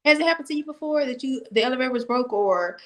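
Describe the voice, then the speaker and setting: An American woman hosting an interview over a video call, talking in a friendly, halting way with fillers. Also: sits high, lively intonation. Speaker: podcast host. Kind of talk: casual interview chat.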